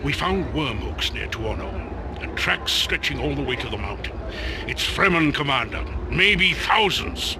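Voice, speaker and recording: An actor playing a proud, gruff military mentor in a game cutscene, with declamatory, emphatic delivery and dramatic pauses. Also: male voice, fairly high pitch, expressive, noisy background. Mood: grave, confiding. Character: proud, gruff male military mentor loyal to his Duke. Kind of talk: theatrical game cutscene acting.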